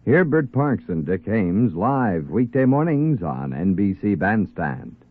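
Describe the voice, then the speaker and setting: Tinny, deliberate American male promo announcer. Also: fairly low pitch, very animated. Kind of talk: 1950s radio promo read. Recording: tinny narrow-band old radio.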